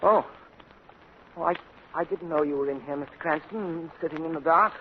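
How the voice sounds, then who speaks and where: A man doing a deferential butler with a fairly high, fussing voice, eager to serve. Heard through a thin, tinny old radio sound with faint hiss. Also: moderate pace, natural voice. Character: polite, worried male butler.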